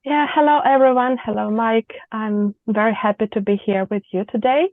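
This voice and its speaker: A woman's voice: a little high, steady tone, normal speed, no room sound. Woman, 30s, Ukrainian accent, careful non-native English, guest on a remote call; tinny line, dry sound. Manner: thoughtful, searching for words. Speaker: guest, visual practitioner. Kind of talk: conversational interview.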